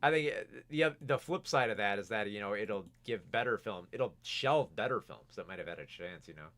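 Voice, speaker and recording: A man's voice: on the low side, expressive, a little fast, a bit bright. A man hosting a casual film review show, rambling with many restarts while he is losing his voice. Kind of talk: casual video review, reading viewer comments.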